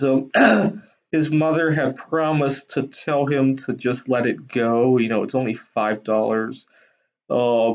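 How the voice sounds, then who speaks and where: A man from Taiwan casually telling personal anecdotes to viewers in a hesitant, halting way with frequent ums. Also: medium pitch, creaky. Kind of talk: casual rambling storytelling. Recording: dull lo-fi sound.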